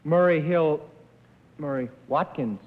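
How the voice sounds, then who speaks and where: An angry young man acted out by a male actor speaks slowly and emphatically in a high voice. The old TV recording is very muffled and echoing. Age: young adult. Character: angry young man reading listings dramatically. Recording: muffled narrow-band 1950s TV, reverberant hall.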